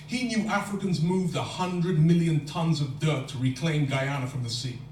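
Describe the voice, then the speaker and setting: A man recites spoken word poetry in a steady, rhyming rhythm, his voice sitting a little high. He is recorded from far back in an echoey lecture hall. A faint hum runs underneath. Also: normal speed. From London.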